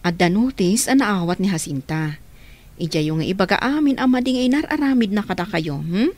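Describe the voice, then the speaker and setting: Woman playing a calm older caretaker. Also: mid-range voice, fairly quick, natural voice. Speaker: radio drama actor.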